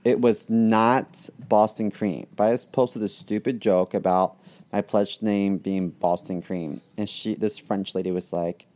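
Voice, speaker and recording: A man's voice: lowish voice, steady tone, a little slow, mellow. A man co-hosting a comedy podcast, telling crude jokes and laughing often in casual chat. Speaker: podcast co-host.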